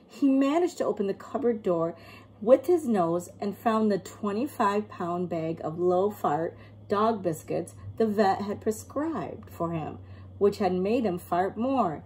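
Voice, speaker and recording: A woman reading a picture book aloud as an expressive storyteller, acting out the tale and explaining as she goes. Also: mid-range voice, rough recording. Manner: acts out characters and pauses to explain words. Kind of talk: expressive children's read-aloud.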